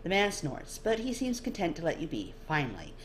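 A woman's voice: on the low side, wildly swooping pitch, fairly quick, slight background noise. A woman reading second-person fiction aloud as a narrator. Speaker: amateur fan fiction reader.

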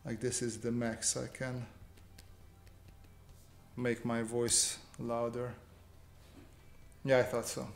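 A man's voice: medium pitch, little pitch movement, takes it slowly, creaky, long pauses, soft background music. A man, chatting casually and informally as he hosts a drawing livestream. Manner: informal, reads viewer questions aloud and answers. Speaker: livestream host, illustrator. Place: small indoor home room. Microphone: desk microphone on improvised stand. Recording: roomy echo, faint background noise.

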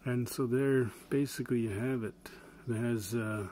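A man casually demonstrating a pocket knife at a table, relaxed and rambling, with chuckles and filler words. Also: medium pitch, normal speed. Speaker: channel host reviewing a pocket knife. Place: indoors at a wooden table. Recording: steady background noise with handling clicks.

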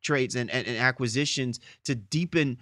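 A man speaks as a sports podcast co-host at a middling pitch. He lays out his opinions emphatically and at length, and the recording is dry and close, with little room sound. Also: moderate pace, a bit bright, dry recording.

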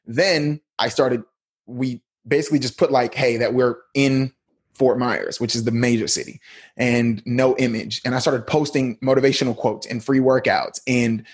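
A man's voice: medium pitch, steady tone, moderate pace, husky. A man in his thirties, a podcast guest, telling his story in a laid-back, slangy way. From United States. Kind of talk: casual interview talk.

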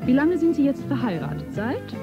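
A woman's voice: high-pitched, very animated, normal speed. A woman playing an insistent interrogator in a stylised radio play, prompting with fill-in-the-blank questions. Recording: dull vintage radio recording. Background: loud music.

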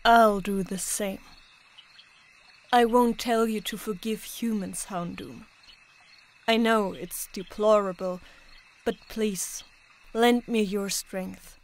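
A woman voicing a proud, solemn young woman monster trainer in a manga dub, speaking in an earnest, measured, theatrical way. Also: mid-range voice, fairly even intonation. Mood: grave, pleading. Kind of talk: dramatic manga dub acting.

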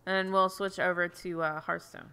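Young woman streamer on headset mic, chatty and giggly, bright tone with gravelly fry. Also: lowish voice, level tone, normal speed. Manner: chatty, giggly, thinking aloud. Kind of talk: casual gameplay chat. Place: home room indoors.

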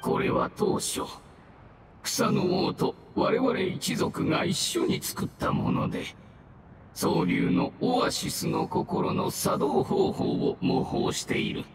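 An actor playing a dying ancient mushroom spirit in a game, weary and devoted, with a weak, halting, archaic old man's delivery. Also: high voice, echoey room, very noisy, exaggerated voice. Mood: solemn, relieved. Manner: slow, archaic elderly speech, halting. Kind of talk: dramatic game dialogue acting.

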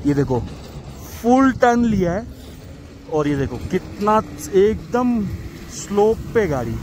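A man making a casual travel vlog outdoors, excitedly pointing out the road, trucks and lake to his viewers while riding and filming. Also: mid-range voice, noisy background. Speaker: travel vlogger. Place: outdoors on mountain roads and lakeside. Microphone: handheld camera, often riding a bike.

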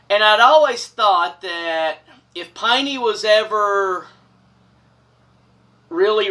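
A man's voice: fairly high pitch, far from the mic. A man, telling a personal story casually and gesturing broadly from a couch in a small wood-paneled room. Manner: animated, gestures broadly with both hands. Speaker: storyteller host.

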